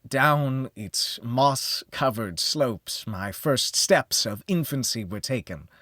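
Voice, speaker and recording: A man narrating a gothic horror audiobook in the first person, theatrical and measured, with deliberate pauses. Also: medium pitch, expressive, pushed and bright, no echo, studio quality.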